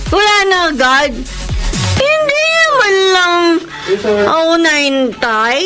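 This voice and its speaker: Feisty Filipino daughter, twenties, high and very animated, outspoken, emotional radio-drama delivery. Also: female voice, slow, music in the background, heavily acted. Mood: drunk, wistful. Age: 20s. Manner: sassy, interrogating, emotional. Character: feisty, hardworking 25-year-old breadwinner daughter, outspoken and exasperated.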